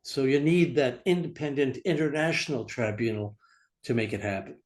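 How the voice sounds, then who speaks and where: A man speaking at a mid pitch on a panel, making a case in emphatic, plain-spoken terms. His delivery is firm and direct. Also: normal speed. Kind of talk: panel discussion remarks. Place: law school event room.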